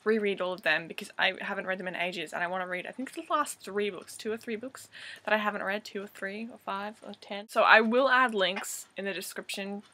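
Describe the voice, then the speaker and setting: Aussie girl about 20 on her webcam in her bedroom, bright voice, rambly and self-mocking, lots of ums. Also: medium pitch, a little fast, slight background noise. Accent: Australian. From Australia. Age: 20s. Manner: rambling, self-deprecating, many fillers. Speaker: vlogger. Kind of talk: casual self-introduction. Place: bedroom with poster-covered walls, indoors. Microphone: webcam at desk.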